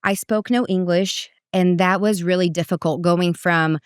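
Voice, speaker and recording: A middle-aged woman from Brazil, a parenting coach who tells her story in a casual, animated way, and her voice jumps up high when she quotes people. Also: moderate pace, clean tone, dry recording, studio quality. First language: Portuguese.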